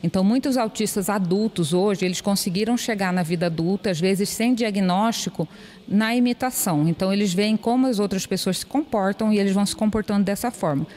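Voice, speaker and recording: A woman answering an interview in a hesitant way, with pauses and restarts, inside an event hall. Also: medium pitch, a little mellow. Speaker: association president. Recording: reverberant hall with faint noise.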